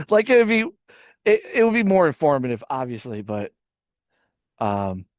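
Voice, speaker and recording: A man's voice: mid-range voice, big pitch swings, a little slow, dry recording, lo-fi sound. A male podcast host talks casually, rambling and restarting his sentences, swearing now and then. His voice sits mid-range. The recording is dry and close. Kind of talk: casual reaction chat.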